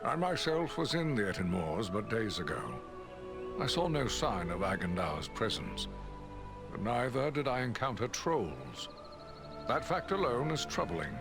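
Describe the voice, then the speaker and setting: An actor playing a wise old wizard in a fantasy game, grave and commanding, with measured, theatrical authority. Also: male voice, mid-range voice, big pitch swings, music in the background. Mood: concerned. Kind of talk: fantasy game cutscene acting.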